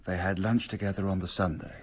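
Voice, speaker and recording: Low, husky, deferential assistant. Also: male voice, steady tone, quick pace, a little mellow. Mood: discreet, grave. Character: deferential, discreet male legal assistant reporting grim news. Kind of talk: radio drama acting.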